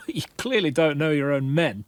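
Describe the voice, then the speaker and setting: An actor playing a haughty, hot-headed young nobleman in a video game, pompous and sharp-tongued. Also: a little high, animated, normal speed, dry recording, studio quality, natural voice. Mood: wry, scornful.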